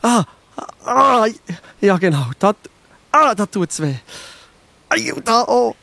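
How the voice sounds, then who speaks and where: High-pitched wounded traveller, Swiss German. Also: male voice, big pitch swings, gravelly, bright, forceful tone, dry recording, full character voice. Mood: pained, groaning. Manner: halting, groaning, stammering. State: in pain. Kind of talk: children's audio drama.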